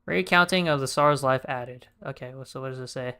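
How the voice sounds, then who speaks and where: Joking male game streamer, medium pitch. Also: brisk, pushed and bright, clean tone. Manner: joking, slangy. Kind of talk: casual gameplay commentary.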